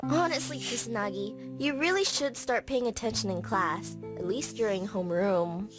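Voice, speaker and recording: Woman playing a high-voiced anime schoolgirl over music. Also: moderate pace, natural voice. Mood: scolding. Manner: hesitant, stammering. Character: shy, tearful anime schoolgirl in love with childhood friend. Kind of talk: visual novel voice acting. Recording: background music.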